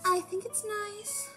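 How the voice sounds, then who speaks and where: A woman's voice: very high voice, pushed and bright, exaggerated voice. A woman playing an earnest, fussy young team member in an audio drama.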